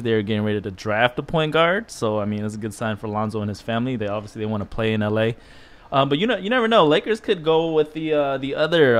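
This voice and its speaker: A man co-hosting a casual talk show, laid-back and measured. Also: fairly low pitch. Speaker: co-host. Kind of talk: casual chat.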